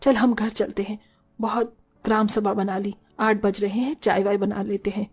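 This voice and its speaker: A woman's voice: medium pitch, little pitch movement, normal speed, darkish tone, breathy edge, faint music behind. A woman voicing a bossy, gossipy but caring older mother-in-law in a Hindi cartoon story. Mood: dismissive.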